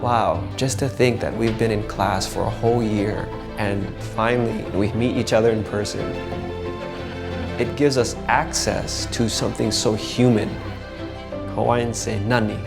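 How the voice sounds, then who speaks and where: Gravelly low-voiced man, reflective. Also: fairly even intonation, measured pace, over music. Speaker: language teacher and program founder. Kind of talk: documentary interview.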